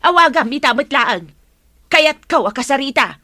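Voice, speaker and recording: A woman's voice: high-pitched, animated, moderate pace, no echo, clean studio sound. A woman playing a stern, suspicious mother in a radio drama, scolding and interrogating with an Ilocano accent. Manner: sharp, interrogating.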